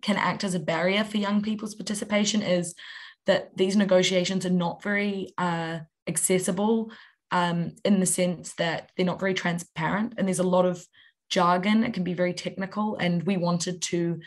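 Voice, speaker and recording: A young woman, a youth climate activist answering interview questions in an articulate, steady way. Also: fairly low pitch, normal speed. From New Zealand. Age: young adult.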